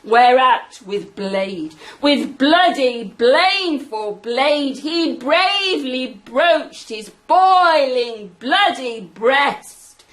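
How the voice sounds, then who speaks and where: A woman playing an earnest, ponderous prologue speaker in an amateur Shakespeare radio play, reciting verse haltingly and emphatically. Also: sits high, animated, fairly bright tone, exaggerated voice. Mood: ponderous tragic recital.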